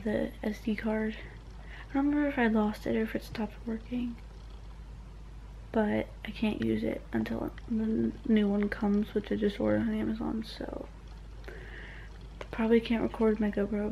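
A teenage girl vlogging casually into a phone held at arm's length, chatty with lots of fillers, often speaking softly. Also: a little high, gravelly, a little hiss or hum, low-quality recording. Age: teens. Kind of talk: casual vlog chat. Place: home, car and outdoors.

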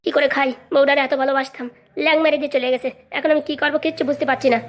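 A woman's voice: high voice, steady tone, rapid-fire, close to an everyday voice. A woman voicing a gloomy, complaining jilted husband in a comic cartoon. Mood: despairing. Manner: fretful, complaining. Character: gloomy, jilted young husband fretting over his job.

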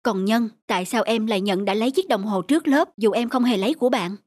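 A woman voicing a gentle, serious schoolteacher in a Vietnamese cartoon, admonishing softly and in a measured way. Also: on the high side, no echo, studio quality, natural voice. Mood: gently reproachful. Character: gentle, serious female schoolteacher, concerned and fair.

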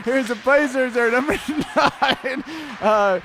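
A man's voice: very high voice, takes it slowly, fairly bright tone, slight background noise. A man hosting joking play-by-play commentary of an amateur hockey game, rambling and laughing at himself. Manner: rambling, laughs at himself, keeps saying the co-host's name. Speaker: play-by-play host.

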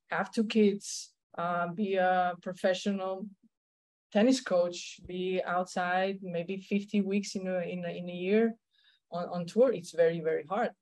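A woman in her thirties with a Slovenian accent, speaking as a tennis coach in a video-call interview, often pausing and repeating words as she searches for English phrasing. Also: fairly low pitch, a little mellow, poor audio. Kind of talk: casual interview chat. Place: indoor room at home.

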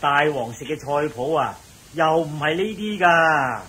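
An actor playing an elderly, genial palace cook in a radio drama, chatty and deferential with hesitant interjections. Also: male voice, a little high, expressive, measured pace, pushed and bright, slightly rough, heavily acted. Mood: earnest, hesitant. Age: older. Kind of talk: Cantonese radio drama acting. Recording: faintly noisy radio drama recording.

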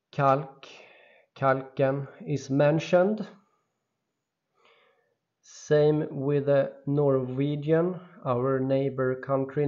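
A man from Sweden with a Swedish accent, speaking in a halting, insistent voice-over monologue full of restarts. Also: medium pitch, darkish tone, dull audio. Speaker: video creator.

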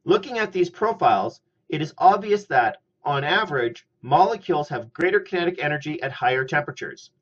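A middle-aged man giving a scripted chemistry lecture at a steady, methodical pace, recorded on a webcam in a small room. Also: on the high side. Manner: measured, methodical explaining. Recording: slightly muffled lo-fi audio.

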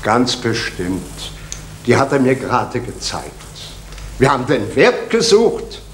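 A man's voice: mid-range voice, big pitch swings, slow pace. An actor playing an older post worker who insists on his honesty, speaking emphatically in an old East German radio crime drama. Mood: defensive. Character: older male post worker Zander, indignant. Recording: echoey, noisy old radio play recording.

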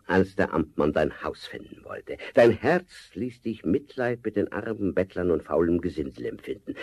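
An actor playing a sly, domineering forest giant who slowly coaxes and sneers in a fairy-tale radio play. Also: male voice, fairly low pitch, a little mellow, breathy edge, heavily acted. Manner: slow, coaxing, sneering laughter.

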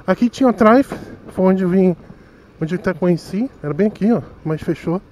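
A man's voice: high-pitched, brisk, dark tone, poor audio. A man with a Rio de Janeiro accent, chatting casually to viewers while riding a motorcycle through city traffic. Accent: Rio de Janeiro Portuguese. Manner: chatty, self-mocking, talks to viewers directly. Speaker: motovlogger. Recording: faint wind and road noise. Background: faint wind and traffic noise.

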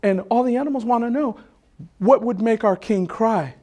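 A man's voice: very high voice, moderate pace, darkish tone, pitched above the performer's own voice. A man voicing a naive, fearless, nosy monkey in a folktale, asking in an earnest, innocent way.

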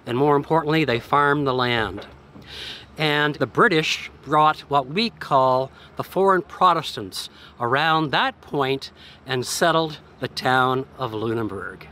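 An older man in his sixties narrates a walking tour with a slightly high, bright and forceful voice. He talks in a measured way, stressing each item as he lists details. Faint outdoor noise from the harbor sits under his voice. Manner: measured, listing details with emphasis. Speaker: tour guide.

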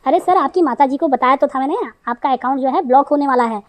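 Cartoon role for a woman: fake male bank manager, high-pitched, officious, wheedling and persistent. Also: fast talker, a crowd in the background, close to an everyday voice. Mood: insistent. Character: pushy male phone scammer posing as bank manager. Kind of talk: comic cartoon dialogue.

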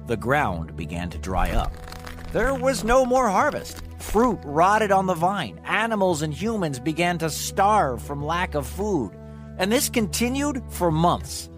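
A man narrates children's stories as host. His voice is a little high and swings widely in pitch, dropping into chatty asides. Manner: conversational asides. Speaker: host and storyteller. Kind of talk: animated scripted storytelling for children.

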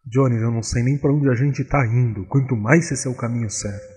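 A man's voice: medium pitch, a little fast, a little mellow, slightly rough. A man playing a sceptical, sarcastic frog brother in a cartoon comedy, with a dry, conversational delivery.

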